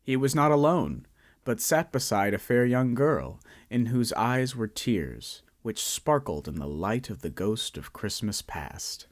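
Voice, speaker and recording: A lively young male narrator. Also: medium pitch, a little slow. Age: young adult. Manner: storytelling, lively, lightly humorous aside. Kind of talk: radio drama narration and club announcement.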